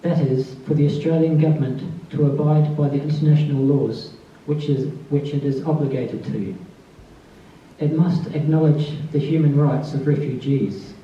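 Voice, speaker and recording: A soft-spoken man speaks at a book festival event, first introducing a fellow writer and then reading his own short piece. His voice is dark and mellow and sits a little high, and it comes from some way off the mic. The sound is dull, with heavy room echo and a faint hiss. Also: steady tone, moderate pace. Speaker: author giving a tribute reading. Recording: distant, dull, very echoey room.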